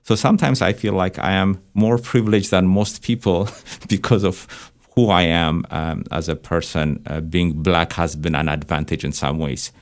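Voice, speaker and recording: Man, very low pitch, reflective, discursive, conversational. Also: normal speed, hardly any pauses. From Ethiopia. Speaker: church counseling director and therapist, panel guest.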